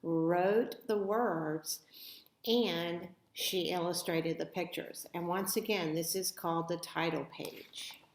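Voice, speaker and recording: A woman reading a children's story aloud with expressive, deliberate phrasing in a roomy, echoey recording. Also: fairly low pitch. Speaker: storybook reader.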